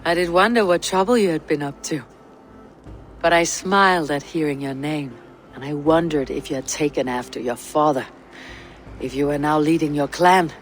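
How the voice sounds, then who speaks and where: Woman playing a steady, blunt Viking warrior. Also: low-pitched, moderate pace, natural voice. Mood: fond, nostalgic. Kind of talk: dramatic video game acting. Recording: music behind the voice.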